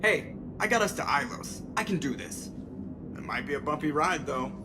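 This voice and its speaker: A man's voice: fairly high pitch, animated, moderate pace, music in the background, close to an everyday voice. Game starship pilot man performer: wry, casual, protective, prominent score underneath. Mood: wry, confident. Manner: sardonic, informal. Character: wisecracking male spaceship pilot, anxious, protective. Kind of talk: video game voice acting.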